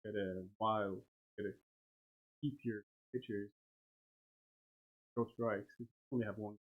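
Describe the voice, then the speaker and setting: A man's voice: lowish voice, steady tone, a little slow. A man acting as guest co-commentator at a game, chatting casually and informally in loose, short phrases on a muffled recording. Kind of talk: casual chat during a game. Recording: dull, muffled live commentary audio.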